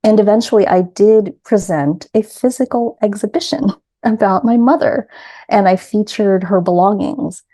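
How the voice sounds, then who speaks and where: A middle-aged woman with a New York accent, a grief coach guest telling her story in an earnest, measured way. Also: medium pitch, mellow. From New York City. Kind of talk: reflective personal storytelling.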